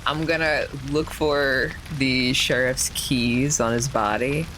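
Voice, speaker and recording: A woman's voice: very deep voice, fairly even intonation, slow, noisy background. A woman playing a tabletop game, casually thinking aloud as she describes what her character does.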